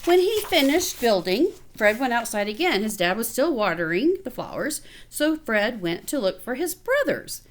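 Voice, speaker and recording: A woman teacher reading a picture book aloud to children, expressively and with casual asides. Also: a little high. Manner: expressive, pausing to comment to children.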